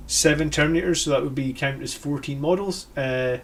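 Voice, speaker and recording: A man's voice: fairly high pitch, a little fast, a little hiss or hum. A Scottish man hosting a live stream, thinking aloud about army lists with many fillers and self-corrections. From Scotland. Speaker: host reviewing army lists. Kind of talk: live-stream casual commentary.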